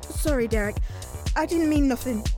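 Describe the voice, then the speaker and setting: A woman's voice: high-pitched, normal speed, over music, close to an everyday voice. An actress playing a frightened, submissive mother, pleading and apologetic, in an audio drama.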